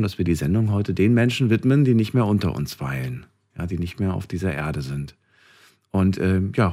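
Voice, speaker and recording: Slightly husky, low-voiced male radio host. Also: a little mellow. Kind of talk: casual phone-in talk.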